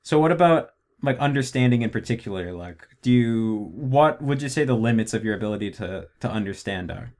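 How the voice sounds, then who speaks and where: A man hosting a casual interview, talking in a relaxed, thoughtful way with some pauses and restarts. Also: medium pitch. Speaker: host, interviewer.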